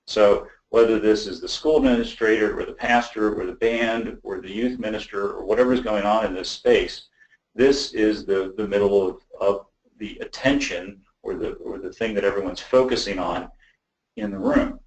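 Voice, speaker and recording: Male webinar presenter, lower register, explains technical design informally and haltingly, roomy echoing pickup. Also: creaky. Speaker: audio system design presenter.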